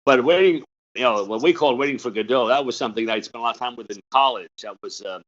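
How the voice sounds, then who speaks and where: A man in his seventies with a hoarse, cold-roughened voice, hosting an interview in a halting, hesitant way. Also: mid-range voice. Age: 70s. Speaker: host, retired pastor. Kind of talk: casual interview chat. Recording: muffled lo-fi audio.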